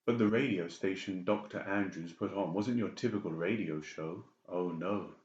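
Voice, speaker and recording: Low-voiced male narrator, echoey room. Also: normal speed, a little mellow. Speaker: audiobook narrator. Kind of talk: dramatic scripted narration. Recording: dull, echoey room recording.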